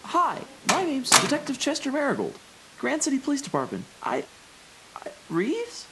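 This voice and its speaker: Woman playing an animated, earnest young rookie cop. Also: high voice, moderate pace, very noisy, exaggerated voice. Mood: nervous. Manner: eager, incredulous questioning. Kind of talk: old-time radio drama acting.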